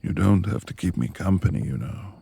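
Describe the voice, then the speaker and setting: A man playing a reclusive, brooding lord of a manor in an intimate ASMR romance roleplay, speaking formally and haltingly in a gravelly, husky, hushed voice. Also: deep voice, lively intonation, full character voice. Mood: guarded. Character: reclusive brooding male manor lord, tender beneath gruff exterior.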